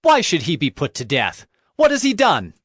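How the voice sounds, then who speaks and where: Man playing an earnest high-voiced young prince. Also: wildly swooping pitch, moderate pace, fairly bright tone, husky, dry recording, studio quality, full character voice. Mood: indignant. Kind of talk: dramatized Bible story acting.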